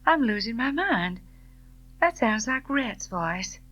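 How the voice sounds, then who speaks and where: A woman's voice: fairly high pitch, very animated, takes it slowly, a bit bright, voice pushed up, heavily acted. A woman voicing a proud, headstrong young widow in an audiobook, bold and emotionally intense. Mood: shocked. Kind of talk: audiobook character dialogue.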